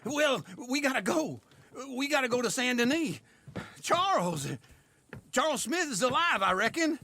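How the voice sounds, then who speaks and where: A man's voice: very high voice, animated, normal speed, pushed and bright, slight background noise, full character voice. A man playing a lazy, whiny old drunkard in a game cutscene, rambling and stammering with theatrical cheek. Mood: rambling, flustered. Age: older. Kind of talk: comic video game cutscene acting.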